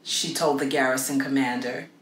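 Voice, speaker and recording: A middle-aged woman reading a story aloud for children in an expressive, deliberate way with dramatic pauses. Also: rumbling low. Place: small indoor room against a plain wall. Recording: roomy echo with faint background noise.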